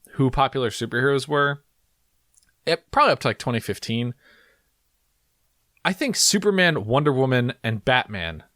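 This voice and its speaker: A man co-hosting a podcast, chatting casually and reading film reviews aloud with quick asides and laughs. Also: mid-range voice, a bit bright, dry recording, clean studio sound. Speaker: podcast co-host.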